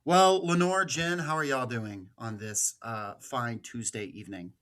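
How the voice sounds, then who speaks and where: A man hosts a casual podcast panel. His voice sits at a middling pitch, and he talks informally with the others as he steers the conversation. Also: measured pace, fairly bright tone. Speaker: podcast host.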